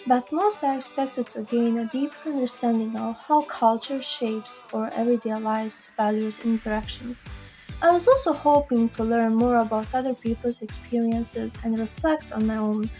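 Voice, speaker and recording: Woman in her twenties, calm and measured, mellow, fairly high voice. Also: soft background music. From Zenica, Bosnia and Herzegovina. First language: Bosnian. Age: 20s. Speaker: student training as an English teacher. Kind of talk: reflective video monologue.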